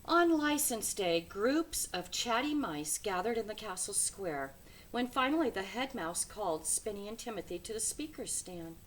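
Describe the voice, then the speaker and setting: Animated, unhurried woman reading a children's story. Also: mid-range voice, a little hiss or hum, poor audio. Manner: dramatic, emphatic phrasing for young listeners. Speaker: read-aloud storyteller. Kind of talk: expressive children's storybook narration.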